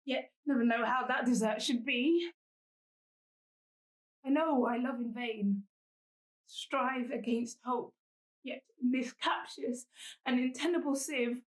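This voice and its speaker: A woman playing a humble, lovesick young woman who pleads earnestly and with passion in a Shakespearean stage monologue. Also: high-pitched. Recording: echoey room.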